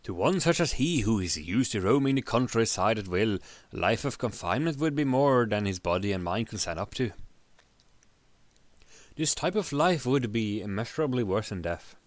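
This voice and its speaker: A man giving a dramatic reading of a story, halting and stumbling over words as he narrates. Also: lowish voice, expressive, dry recording. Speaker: narrator, streamer.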